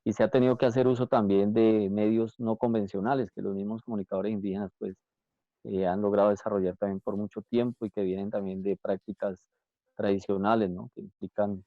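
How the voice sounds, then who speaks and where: A man's voice: on the low side, no room sound. A man from Colombia speaking as a panelist on a video call, explaining steadily with frequent fillers. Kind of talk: virtual panel talk. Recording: tinny phone-like call audio.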